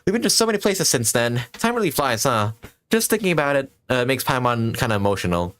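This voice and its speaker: A man voicing a small, chirpy, sentimental female fairy companion from a video game, excitable and talking about herself in the third person. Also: mid-range voice, fairly quick. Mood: eager, wistful. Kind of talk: reading video game dialogue in character.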